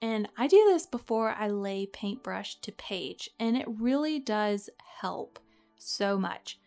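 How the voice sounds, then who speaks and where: A woman's voice: mid-range voice, lively intonation, slow pace, creaky. A young woman with a slightly nasal, stuffy voice from a cold, giving a friendly, chatty watercolor tutorial as a voice-over. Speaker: watercolor art teacher. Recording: voice-over with soft background music.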